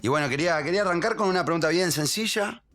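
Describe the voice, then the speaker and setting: A man's voice: a little high, rapid-fire, fairly bright tone, faint music behind. A man with a Rioplatense Spanish accent hosting a podcast interview, stumbling a little over his words as he asks questions. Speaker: podcast host.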